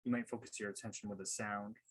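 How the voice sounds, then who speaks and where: Male meditation coach, husky and on the low side, measured instructional delivery for guided sessions. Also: level tone.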